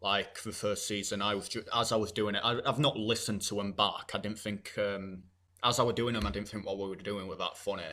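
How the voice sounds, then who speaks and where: A man's voice: fairly low pitch, steady tone, fairly quick, bright, forceful tone. A teenage boy, co-hosting a podcast in casual chat, blunt and rambling with plenty of swearing. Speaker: podcast co-host.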